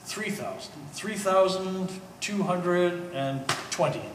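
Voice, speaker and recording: In a reverberant hall, a bit far from the mic, a man acts a reasonable, easygoing go-between on stage. He sounds conversational and persuasive, in a slightly high male voice. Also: takes it slowly. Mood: pleased. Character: reasonable, easygoing man brokering a family dispute. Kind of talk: live stage play acting. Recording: echoey hall, distant mic, faint noise.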